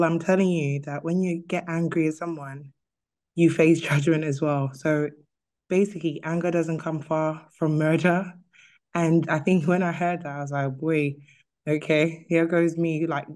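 A woman's voice: low voice, warm tone. Casual woman sharing a faith reflection. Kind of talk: personal Bible reflection, casual.

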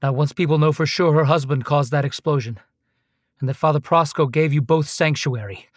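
An actor playing a cold, menacing boss in a radio drama, brisk and controlled with veiled threats. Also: male voice, on the high side, no room sound, studio quality, lightly acted.

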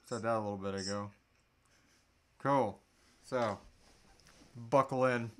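A man's voice: on the low side, slow pace, fairly bright tone. Informal man hosting a live chat. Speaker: podcast host. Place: small indoor room at home.

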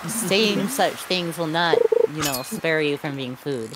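A woman in a casual live stream chat, teasing her friends with slow, deadpan mock threats. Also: deep voice, heavy background noise. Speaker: vtuber guest playing a shark character. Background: game music.

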